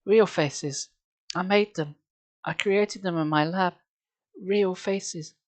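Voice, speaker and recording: A reader voicing a sad, bitter male mask-shop owner who speaks softly and slowly, in a storybook reading. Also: mid-range voice, no room sound, pitched above the performer's own voice, lightly acted. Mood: sad, hushed. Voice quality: soft, hushed.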